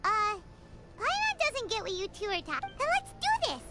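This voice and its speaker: An actress voicing a tiny, childlike fairy companion in an anime-style game, squeaky and bubbly, talking about herself in the third person. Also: female voice, huge pitch swings, moderate pace, loud music behind the voice, exaggerated voice. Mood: puzzled, then eager.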